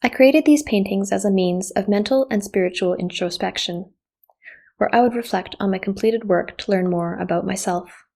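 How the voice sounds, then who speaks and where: A Canadian woman whose first language was Japanese, a visual artist reading her artist statement in a measured, reflective way. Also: mid-range voice, gravelly, warm tone. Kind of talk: scripted artist statement narration.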